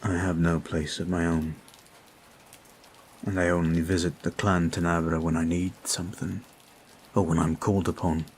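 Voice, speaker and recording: A man voicing a devoted young male vampire in an ASMR roleplay audio. He speaks in an earnest, formal, quiet way. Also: low voice, level tone, moderate pace, long pauses, slight background noise, close to an everyday voice.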